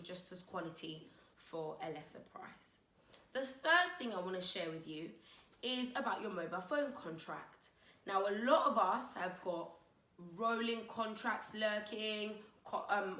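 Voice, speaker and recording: A woman with a croaky voice from being unwell, giving chatty, direct money-saving advice to her viewers in a casual vlog. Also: medium pitch, a bit bright, roomy sound. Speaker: finance vlogger.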